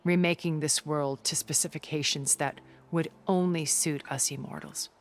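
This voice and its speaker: An actress playing a poised, persuasive immortal older woman with measured, elegant phrasing in a cinematic video game. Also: deep voice, steady tone, slight background noise, close to an everyday voice. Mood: resolute.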